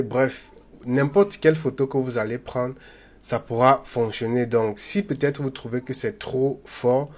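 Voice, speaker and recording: A man hosting a software tutorial, talking loosely and chattily with many fillers and restarts, and speaking straight to his viewers in a friendly way. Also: medium pitch. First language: not English. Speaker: YouTube tutorial host. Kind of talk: unscripted screen-recorded software tutorial.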